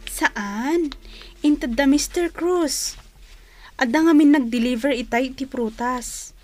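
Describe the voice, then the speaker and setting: Dutiful, high-voiced Filipino fruit seller. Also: female voice, takes it slowly, soft background music. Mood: earnest. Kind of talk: Ilocano radio drama acting.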